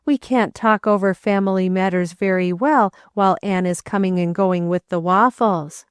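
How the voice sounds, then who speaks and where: A woman voicing a graying, plain-spoken aunt in a storybook, speaking in a measured and reluctant way. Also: mid-range voice, clean tone, dry recording, studio quality, close to an everyday voice. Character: graying aunt, tactful but grim.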